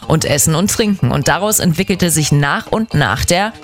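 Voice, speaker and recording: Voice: medium pitch, expressive, moderate pace, faint music behind. A speaker giving a scripted, didactic explanation in orderly numbered points.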